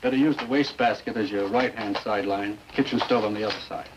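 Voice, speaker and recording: A man's voice: lowish voice, fast talker, close to an everyday voice. A man playing a practical police crime-scene technician giving workmanlike instructions in a 1950s television drama. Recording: noisy 1950s television soundtrack.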